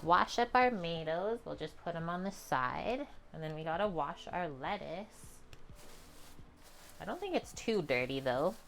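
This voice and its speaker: A young woman with a calm, chatty manner, walking viewers through a home cooking session in her kitchen. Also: fairly low pitch, measured pace, vocal fry, a little hiss or hum. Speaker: cooking streamer. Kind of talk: casual cooking demonstration.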